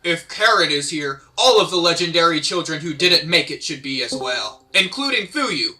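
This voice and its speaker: A man's voice: a little high, expressive, normal speed, pushed and bright. A man playing an anxious, earnest, urgent hero in an amateur fantasy melodrama read. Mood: grave, urgent.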